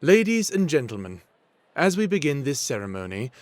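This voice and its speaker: An actor playing a formal wedding officiant in an audio drama, announcing in a ceremonious, declamatory manner. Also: male voice, medium pitch, sing-song, normal speed, dry recording, lightly acted. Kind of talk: scripted romantic audio drama acting.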